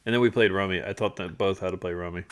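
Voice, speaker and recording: A man co-hosting a livestream, chatting casually through stories and photos from a trip, animated when he retells moments. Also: low voice, fast. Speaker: livestream co-host. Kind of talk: casual storytelling chat over travel photos.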